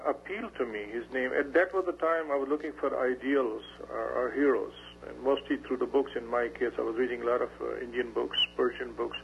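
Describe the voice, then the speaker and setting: Gravelly man, halting speech. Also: mid-range voice. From Pashtun area of Pakistan. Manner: halting, many fillers and restarts. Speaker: guest. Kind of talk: radio interview. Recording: dull radio broadcast with faint hum.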